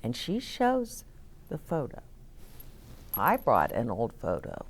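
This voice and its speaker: Slow, expressive woman reading to children. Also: a bass voice, husky. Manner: deliberate, explains and questions as if to young children. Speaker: storytime host reading picture books aloud. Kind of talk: expressive read-aloud for children with asides to listeners.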